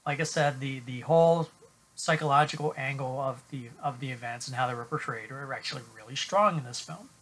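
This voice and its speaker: Middle-aged male film reviewer, bright and slightly husky tone, halting delivery full of ums, webcam at home. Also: on the high side. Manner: halting, many uhs and restarts. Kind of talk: unscripted film review to camera. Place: small room at home, indoors.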